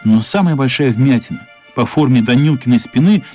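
A man's voice: mid-range voice, animated, moderate pace, warm tone, faint music behind, natural voice. A man narrating a children's radio play as a scripted storyteller.

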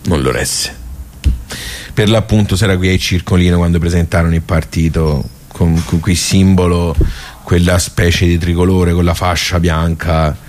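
A man's voice: low voice, fairly even intonation, normal speed, darkish tone, a little hiss or hum, close to an everyday voice. A man playing a wry, nostalgic regular of a workers' club in a radio monologue, colloquial and rambling, with a Tuscan accent. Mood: wistful, rueful. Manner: rambling, colloquial, self-interrupting. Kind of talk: radio theatre monologue, conversational.

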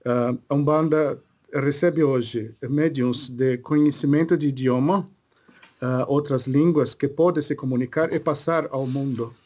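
Man voicing a calm male Cree spirit guide; very slow, broken delivery, mid pitch. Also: natural voice. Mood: solemn. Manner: slow, broken phrasing with many pauses. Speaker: medium channeling a spirit. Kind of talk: slow halting spiritual channeling.

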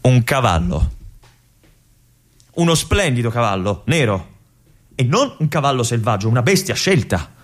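An actor playing a brooding male army lieutenant in a theatrical monologue, with an intense, hushed delivery and phrases that drop to a whisper. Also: mid-range voice, very animated, a little slow, pauses often. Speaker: stage actor.

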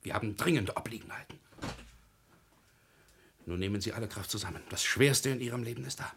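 An actor playing a grave, formal legal counsellor and anxious family go-between in a classic German radio drama, measured and solemn. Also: male voice, on the low side, creaky, fairly bright tone, a little hiss or hum. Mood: grave, urgent.

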